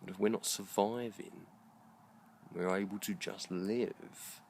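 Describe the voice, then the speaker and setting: A middle-aged British man speaks in a very low voice with a gravelly creak. He delivers a reflective monologue in short, deliberate phrases, earnest and halting. Faint ambient noise sits behind him. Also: fairly even intonation, darkish tone. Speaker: video blogger. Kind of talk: slow reflective monologue to camera.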